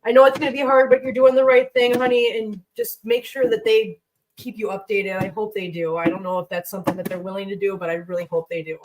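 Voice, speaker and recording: A woman's voice: mid-range voice, level tone, fast, recorded from a distance, noisy background. A woman hosting a livestream from her home kitchen, chatting casually while cooking. She sounds congested and rambles, talking to her pets and viewers.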